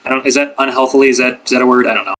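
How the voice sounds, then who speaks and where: A man's voice: mid-range voice, steady tone, very fast, a crowd in the background, low-quality recording. A man on a podcast panel about ASMR, chatting casually with lots of fillers and rambling asides. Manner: rambling, filler-heavy, many "like"s. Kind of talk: casual chat.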